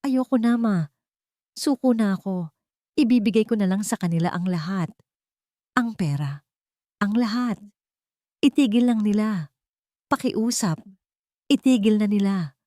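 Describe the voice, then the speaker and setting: A woman's voice: mid-range voice, unhurried, pauses often, mellow, no room sound, clean studio sound, voice pushed up, close to an everyday voice. A woman voicing a desperate, weeping young pregnant heiress in an audiobook drama, pleading in anguish. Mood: weary pleading.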